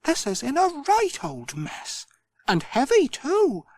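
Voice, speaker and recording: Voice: high-pitched, huge pitch swings, takes it slowly, voice pushed up, heavily acted. A storyteller voicing a fussy older postmistress who frets about a badly wrapped parcel. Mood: fretful. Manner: fussy, tutting.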